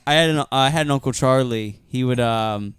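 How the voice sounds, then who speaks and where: Smooth-voiced young man, good-natured. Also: mid-range voice, normal speed, a bit bright, no echo, studio quality. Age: 20s. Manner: laughing, good-natured, protesting. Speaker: podcast co-host. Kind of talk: casual comedic banter.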